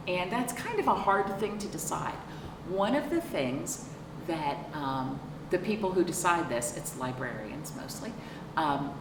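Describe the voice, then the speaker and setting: A middle-aged woman with a lowish, very lively voice reads to children as a storytime librarian. She stretches words for emphasis and turns to the listeners with questions. The recording is distant, with clear background noise. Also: reverberant. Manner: expressive, drawn-out emphasis and questions to young listeners. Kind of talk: picture-book read-aloud with chatty asides to children. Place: small indoor room, seated on a couch.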